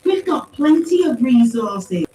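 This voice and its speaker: Woman playing an earnest, emphatic woman soldier, pitched high. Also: expressive, measured pace, warm tone. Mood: exasperated, insistent. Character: earnest, pleading, indignant woman soldier on a mission. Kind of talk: acted audiobook dialogue. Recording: roomy, distant pickup with faint noise.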